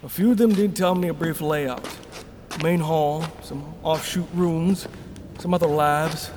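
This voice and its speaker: A man's voice: a little high, fairly even intonation, measured pace, background noise, close to an everyday voice. An actor playing a guarded, cautious male rebel in a sci-fi radio drama, serious, clipped and commanding. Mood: matter-of-fact. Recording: loud music under the dialogue. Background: loud music.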